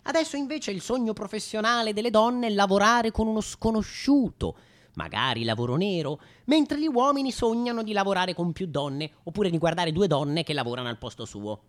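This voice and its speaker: A man's voice: medium pitch, fairly bright tone. A man performing a scripted satirical comedy monologue in a mock-serious, theatrical, emphatic way.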